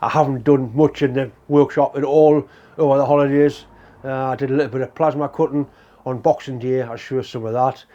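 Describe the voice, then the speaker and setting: A man's voice: medium pitch, fairly even intonation, a little fast, mellow, dull audio. A man, hosting a casual workshop vlog while full of a cold. He talks in a plain, blunt, chatty way. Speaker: workshop channel host. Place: home workshop.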